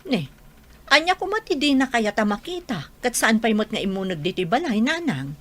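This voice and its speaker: An animated, scolding mother. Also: fairly high pitch, normal speed. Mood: questioning. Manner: chiding, expressive. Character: scolding middle-aged mother, exasperated with her daughter. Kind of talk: Filipino radio drama acting.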